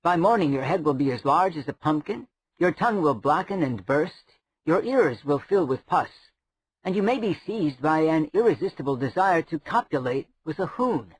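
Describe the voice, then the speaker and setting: A man voicing a sharp-tongued, scornful adventuring woman with a dark, wry manner. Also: a little high, expressive, normal speed, husky, pitched above the performer's own voice, low-quality recording. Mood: wryly menacing.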